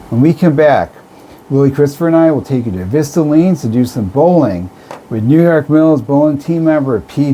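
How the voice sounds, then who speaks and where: A man's voice: mid-range voice, dark tone, slight background noise, poor audio. A man hosting a radio show, interviewing guests and reading links in an encouraging way.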